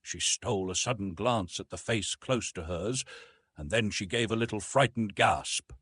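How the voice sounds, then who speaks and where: A man's voice: on the low side, normal speed, a bit bright, slightly rough, no room sound, studio quality. A man reading an adventure novel aloud as dramatic scripted narration. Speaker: audiobook narrator.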